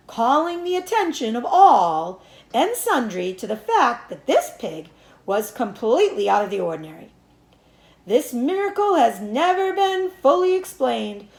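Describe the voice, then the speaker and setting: A woman voicing a pompous, proud fairground loudspeaker announcer for a storybook, with booming, drawn-out formal proclamations. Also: reverberant, full character voice, rough recording. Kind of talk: storybook read-aloud character voice.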